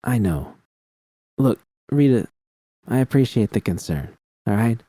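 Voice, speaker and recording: A man's voice: medium pitch, expressive, unhurried, mellow, no echo. A man voicing a jaded, guarded woman in an audiobook, defensive and weary. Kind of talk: audiobook character dialogue.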